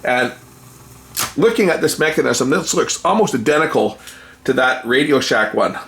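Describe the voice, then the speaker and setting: A man's voice: mid-range voice, normal speed, a bit bright, a little hiss or hum. A man giving casual, unscripted commentary as a repair hobbyist, rambling and thinking aloud while he works. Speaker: repair hobbyist presenting a video.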